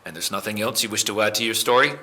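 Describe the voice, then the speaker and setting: A man playing a calm, courteous police officer in an amateur audio drama, asking questions in a measured, polite way. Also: fairly low pitch. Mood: businesslike.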